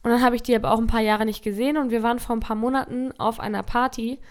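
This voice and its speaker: Female podcast co-host, slightly high pitch, informal anecdotal chat, on mic at a table. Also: steady tone, very fast. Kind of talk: casual chat. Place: seated at a table. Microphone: podcast microphone at a table.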